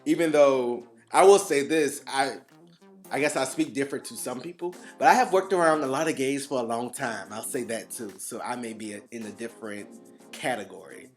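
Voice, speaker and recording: A man co-hosting a casual chat podcast, animated and quick to laugh, with faint guitar music underneath. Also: mid-range voice. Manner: animated, laughs often, drawn-out emphasis. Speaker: podcast co-host.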